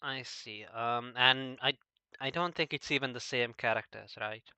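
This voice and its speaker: A man hosting a casual, unscripted discussion, asking questions in a halting way and often stumbling over his words. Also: medium pitch, sharp tone, clean tone, no echo. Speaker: podcast host asking questions.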